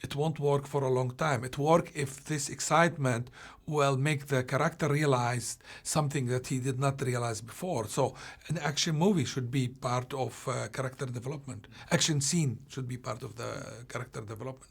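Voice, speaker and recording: A man from Palestine, a film director, answering interview questions in a halting way, often restarting as he searches for words. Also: medium pitch, vocal fry, husky.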